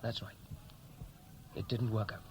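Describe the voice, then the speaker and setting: A man's voice: on the low side, fairly even intonation, unhurried, lightly acted. An actor playing a regretful, restless Welsh-born man home from America, hesitant and dry, in a 1960s radio drama. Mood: regretful, uneasy. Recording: noisy old radio play recording.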